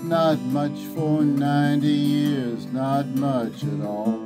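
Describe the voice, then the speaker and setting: A woman slowly singing and speaking a mournful song. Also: extremely low pitch, steady tone, poor audio. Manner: slow, deliberate and mournful. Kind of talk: slow sung-spoken song with guitar. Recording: guitar accompaniment under voice.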